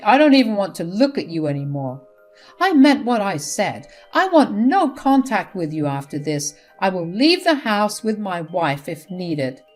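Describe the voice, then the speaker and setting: A fed-up adult son speaks bluntly and firmly, as a woman narrator voices him. He sounds steady and unyielding, with faint music under the reading. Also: fairly low pitch. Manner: blunt and firm.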